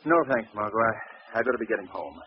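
An actor playing a composed, polite gentleman sleuth with a measured, assured manner, in 1940s radio mystery style. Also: fairly low pitch, close to an everyday voice. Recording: dull, noisy old radio recording.

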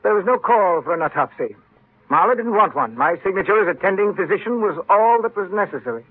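A man's voice: high voice, animated, quick pace, background noise. An actor playing a formal, guarded male doctor in old-time radio drama. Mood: indignant. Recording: muffled vintage radio recording.

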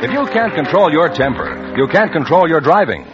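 A young man announcing on a 1950s radio quiz show, formal and measured, reading scores and sign-offs. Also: fairly high pitch, big pitch swings, fairly bright tone. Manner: smooth, formal, measured delivery. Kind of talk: polished broadcast announcing. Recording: dull old radio broadcast with music.

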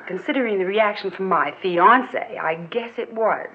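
An actress in an old radio drama playing a young secretary with a dry, sarcastic, exasperated manner. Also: female voice, mid-range voice, normal speed, lightly acted. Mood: wry, exasperated. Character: sardonic young female secretary, exasperated fiancée. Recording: dull noisy vintage radio recording.

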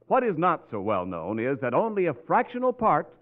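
Animated American 1940s radio announcer man. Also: fairly high pitch, brisk. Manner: emphatic, polished, declamatory. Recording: tinny old radio recording with music.

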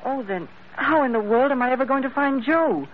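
A man's voice: extremely high pitch, animated, brisk. A male actor playing an eager, earnest runaway boy in an old radio drama, polite and hesitant. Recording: tinny, noisy old radio recording.

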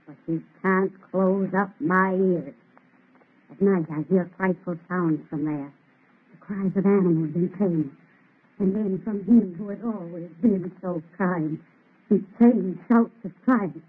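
A woman's voice: fairly low pitch, breathy edge. A woman playing an anxious, disapproving housekeeper with a grumbling, emphatic manner in old radio drama style. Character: fretful, scolding female housekeeper, anxious and disapproving. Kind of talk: 1930s radio drama acting. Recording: tinny, scratchy old radio broadcast.

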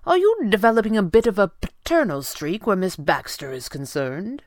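A woman voicing a composed, wry gentleman in an audiobook story, speaking with dry, measured formality. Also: mid-range voice, very animated, dry recording, pitched above the performer's own voice, natural voice. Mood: relaxed, wry. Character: wry, composed gentleman employer, adult man.

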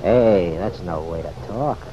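A man playing a persistent, easygoing American police detective who needles in unhurried slang, in an old-time radio drama. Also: low-pitched. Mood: needling. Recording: muffled, noisy vintage radio recording.

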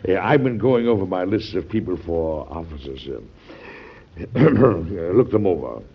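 A man's voice: deep voice, expressive, normal speed, slightly rough. A man acting an elderly, stubborn, principled President with sputtering, emphatic delivery in an old-time radio drama. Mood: firm, earnest. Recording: dull lo-fi old radio recording.

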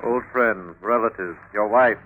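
An actor playing a composed magistrate who questions witnesses with formal insistence, in an old-time radio drama style. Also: male voice, lowish voice, measured pace, fairly bright tone. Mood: calm, firm. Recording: tinny, hissy vintage radio broadcast.

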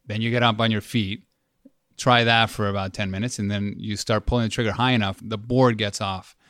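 A man's voice: on the low side, fairly even intonation, brisk, fairly bright tone, no room sound, studio quality. A man from Peru, a podcast guest, telling stories with enthusiasm in casual conversation. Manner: enthusiastic storyteller. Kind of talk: casual interview chat.

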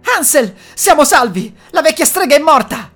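A woman voicing a brave, clever young girl who speaks in a bright, eager way. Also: high-pitched, sing-song, moderate pace, faint music behind, pitched above the performer's own voice. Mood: joyful.

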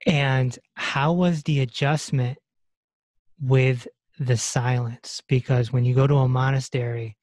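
A woman hosting a podcast, interviewing a guest in a relaxed, affirming way and sharing her own stories. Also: rumbling low, slow, no echo. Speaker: podcast host. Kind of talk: conversational interview.

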